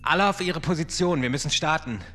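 A man playing a brisk, flustered starship captain who orders his crew about in a German audio drama. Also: fairly high pitch. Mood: urgent, commanding. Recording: loud music under the voice.

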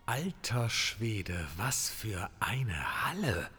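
A man's voice: lowish voice, big pitch swings, extremely slow, over music, full character voice. A man playing an easygoing member of a podcast group on a spooky outing in an audio drama. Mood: casual, wary. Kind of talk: scripted audio drama dialogue.

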